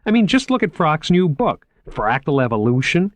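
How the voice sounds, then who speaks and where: A man playing a high, eager, stammering young curator. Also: very animated, normal speed, dry recording. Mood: opinionated. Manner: eager, stammering when flustered. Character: eager shy young male museum curator.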